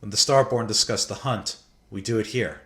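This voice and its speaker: A man's voice: fairly low pitch, moderate pace, fairly bright tone. Roomy, solemn man, a cryptic traveller. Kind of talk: video game character dialogue.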